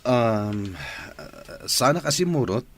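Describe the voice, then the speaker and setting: An actor playing a worried, guilt-ridden cheating husband in an Ilocano radio drama, speaking in a hesitant, anxious way. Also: male voice, medium pitch, animated, slow pace. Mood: defensive.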